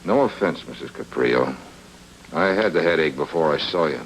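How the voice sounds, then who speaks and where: An actor playing a cool, wisecracking, world-weary private detective in clipped old-time radio noir style. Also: male voice, rumbling low, expressive, normal speed, slight background noise, low-quality recording. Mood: sardonic.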